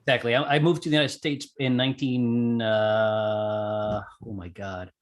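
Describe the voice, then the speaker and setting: A man from Puerto Rico with a mid-pitched voice talks as a guest in a casual interview. He tells things in colloquial language and voices his own thoughts as quotes. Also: steady tone, unhurried. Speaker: interview guest, amateur radio operator and skydiver.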